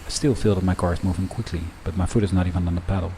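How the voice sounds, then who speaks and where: Low, mellow-voiced man narrating a monologue. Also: steady tone, very fast, slight background noise. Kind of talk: scripted first-person monologue narration.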